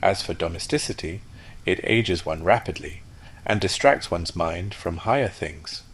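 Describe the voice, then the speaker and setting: A man's voice: sits low, unhurried, slightly rough. A man voicing a conceited male firework rocket who talks in a haughty, lecturing way. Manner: haughty, self-important, lecturing.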